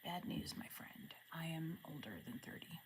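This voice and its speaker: A woman in her forties chatting casually with viewers on a livestream, asking friendly questions and murmuring softly when she reads comments. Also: deep voice, normal speed, in a whisper. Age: 40s. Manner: drops to a soft murmur when reading comments aloud. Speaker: live streamer.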